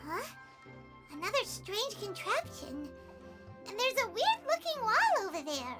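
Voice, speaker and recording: A woman voicing a tiny, excitable, easily frightened game mascot with a squeaky, childlike and dramatic delivery. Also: unhurried, full character voice. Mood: curious. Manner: refers to self in third person, dramatic. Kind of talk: video game cutscene acting. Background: game music.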